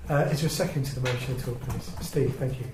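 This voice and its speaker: A man's voice: mid-range voice, quick pace. An English man chairing a formal council meeting in a polite, procedural and somewhat hesitant way. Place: indoor parish council meeting room. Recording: echoey meeting room, clear background noise.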